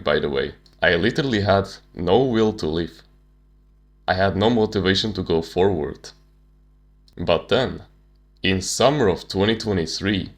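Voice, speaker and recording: Deep-voiced young man. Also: fairly bright tone. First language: Slovak. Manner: casual, slangy, candid. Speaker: self-improvement channel host. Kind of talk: personal storytelling monologue.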